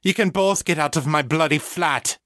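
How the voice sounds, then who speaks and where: A man's voice: fairly high pitch, moderate pace, fairly bright tone, no room sound, studio quality, voice pushed up, natural voice. A man voicing a fierce, defiant mother who speaks in curt commands, in a narrated story. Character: fierce, defiant mother, tough woman standing her ground.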